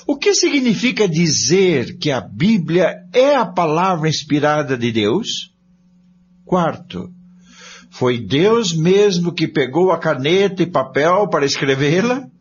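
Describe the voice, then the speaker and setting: Slow-paced, expressive, earnest man played by an actor. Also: on the high side. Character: male study-group member, earnest, deliberate, polite. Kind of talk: scripted amateur radio drama, reading aloud. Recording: dull lo-fi recording, noisy hum.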